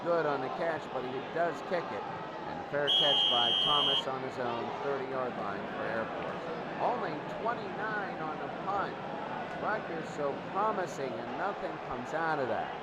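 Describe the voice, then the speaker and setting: A man's voice: a little high, lively intonation, moderate pace. Man calling football through heavy noise. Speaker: play-by-play announcer. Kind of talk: live American football play-by-play commentary. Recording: very noisy outdoor-sounding broadcast recording.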